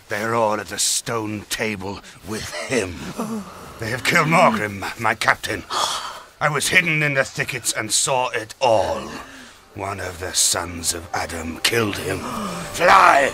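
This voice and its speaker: An actor playing a fierce, gravelly creature serving in an evil army in a radio drama. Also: male voice, on the low side, very animated, takes it slowly, heavily acted. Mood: urgent, alarmed.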